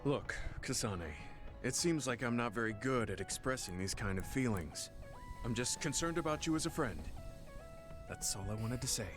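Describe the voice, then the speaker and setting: A man voicing an earnest, reserved older soldier in a video game drama, speaking in a measured, sincere and formal way. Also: medium pitch, animated, natural voice. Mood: awkward, sincere. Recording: loud music behind the voice. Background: background music.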